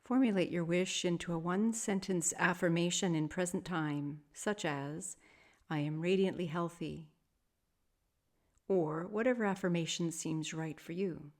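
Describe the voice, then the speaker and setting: Low-pitched woman guiding a meditation. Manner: soft, soothing, measured with long pauses. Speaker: yoga nidra meditation teacher. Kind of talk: guided meditation.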